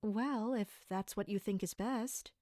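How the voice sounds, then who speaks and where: A woman playing a dutiful, wary guard captain, speaking and narrating in a formal, measured audio drama style. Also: mid-range voice, dark tone, dry recording, studio quality, close to an everyday voice. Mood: polite, reserved. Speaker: narrator and lead character. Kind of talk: scripted first-person audio drama narration.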